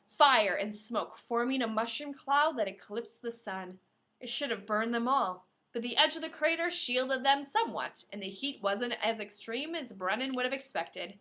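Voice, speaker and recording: Bright, high-voiced woman podcaster. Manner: informal, playful, dramatic when reading. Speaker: podcast co-host. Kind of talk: reading a novel aloud with casual banter.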